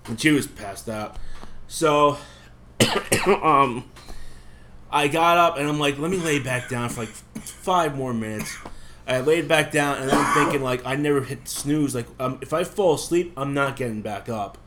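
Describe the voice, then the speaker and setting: A man's voice: mid-range voice, measured pace, background noise. A man with a cough, sick, co-hosting a podcast and rambling casually with frequent swearing. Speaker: podcast co-host. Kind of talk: casual chat.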